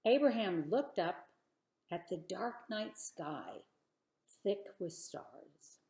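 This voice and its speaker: A woman reading a children's bedtime story aloud with expressive narration, dramatic pauses and emphatic phrasing. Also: mid-range voice, roomy sound.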